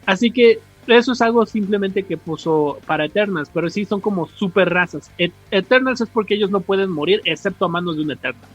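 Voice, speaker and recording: A man's voice: sits high, fairly quick, husky. A Mexican man co-hosting a podcast, explaining things casually in slangy, rambling talk. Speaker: podcast co-host. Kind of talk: casual explanatory chat about comics. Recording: dull podcast audio with music.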